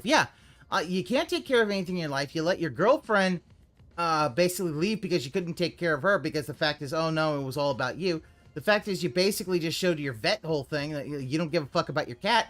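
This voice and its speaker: Profane ranting streamer, faint music behind. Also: mid-range voice. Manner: ranting, profane, swings into loud yelling. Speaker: streamer reacting to a video. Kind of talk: live reaction commentary.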